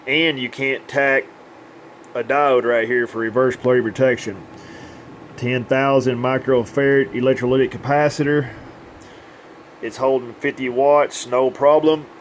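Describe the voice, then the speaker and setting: A man's voice: medium pitch, steady tone, a little slow, slight background noise. A man giving an informal, hands-on update as a radio repair technician, with plain, folksy phrasing. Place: indoors at a repair workbench.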